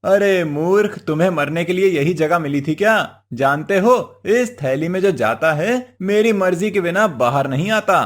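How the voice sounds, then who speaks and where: A man's voice: high-pitched, animated, unhurried, few pauses. A man playing a crafty, cheerful village husband in a cartoon moral story, coaxing and exclaiming in an exaggerated way. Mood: triumphant.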